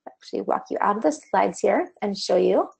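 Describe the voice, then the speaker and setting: A woman talks through a screen-share lesson in a relaxed, chatty way, stopping now and then with an "um". Her voice has a gravelly edge and sounds a little worn, as if she is starting to lose it. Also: mid-range voice, brisk. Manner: casual, conversational explaining with fillers. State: slightly losing her voice. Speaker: online course instructor. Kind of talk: screen-share tutorial walkthrough.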